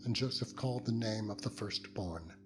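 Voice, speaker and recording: A man narrating a dramatized Bible reading in a solemn, measured and dramatic manner. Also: on the low side. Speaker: scripture narrator. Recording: loud music under the voice.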